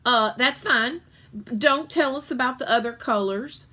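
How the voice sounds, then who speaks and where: A woman's voice: high-pitched, a little slow, pushed and bright, voice pushed up, exaggerated voice. Casting: weary mom for a read-aloud storybook , voiced by a woman reader, blunt, wry, resigned. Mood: flustered. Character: exasperated adult mother, weary and wry.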